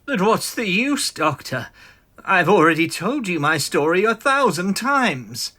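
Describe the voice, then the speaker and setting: Bitter, swooping mad little scientist. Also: male voice, high-pitched, very animated, bright, forceful tone, full character voice. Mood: distressed, pleading. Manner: agitated, pleading, melodramatic, halting. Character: small, bitter, frantic mad scientist, male professor. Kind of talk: dramatic vintage comic audio drama.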